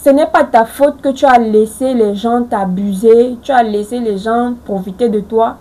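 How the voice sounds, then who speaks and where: A woman's voice: on the high side, moderate pace, mellow, rough recording. A woman in her forties giving earnest, reassuring life advice straight to her viewers. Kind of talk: motivational talk to camera.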